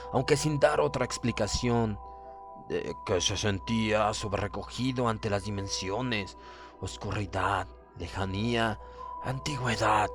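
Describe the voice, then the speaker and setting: A man narrating a horror audiobook in the first person, reading solemnly and deliberately with theatrical emphasis. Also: fairly low pitch. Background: music.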